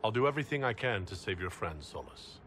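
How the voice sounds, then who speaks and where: An actor playing a calm, commanding leader in a video game, measured and reassuring. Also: male voice, lowish voice, noisy background. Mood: sympathetic. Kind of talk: video game dialogue acting.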